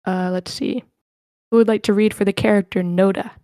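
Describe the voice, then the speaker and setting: Casting: informal female host of an online script read, mid-pitched, closely recorded with little room sound. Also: steady tone, brisk, mellow, no echo, studio quality. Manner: informal, chatty. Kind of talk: casual chat, organizing a cast.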